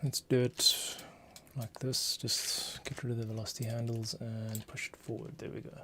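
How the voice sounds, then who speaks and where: A man's voice: medium pitch, flat delivery, normal speed, pushed and bright, breathy edge. A man composer talking casually to himself as he works, muttering and counting beats aloud. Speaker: composer, host. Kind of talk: casual working commentary while scoring.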